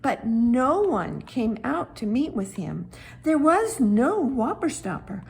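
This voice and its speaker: A woman voicing a childlike, tender-hearted talking stone that tells a children's Bible story with dramatic, hushed and emphatic delivery. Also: fairly high pitch, very animated, takes it slowly, vocal fry, full character voice. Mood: solemn.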